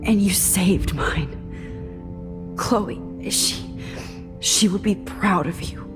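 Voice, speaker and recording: A woman plays a teenage girl student in a narrative video game. She is earnest and hesitant, taking her time over her words. Music plays clearly behind her. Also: medium pitch, takes it slowly, lightly acted. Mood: gentle, regretful. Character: earnest teenage girl photography student, soft-spoken and anxious. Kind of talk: naturalistic video game cutscene acting.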